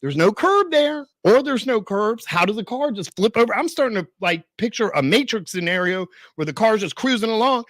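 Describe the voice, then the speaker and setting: High-pitched, slangy man telling a story. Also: lively intonation, a little fast, few pauses, a bit bright, no room sound. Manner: slangy, emphatic, repeats phrases, says "bro" and "dude". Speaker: podcast storyteller. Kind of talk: animated casual storytelling.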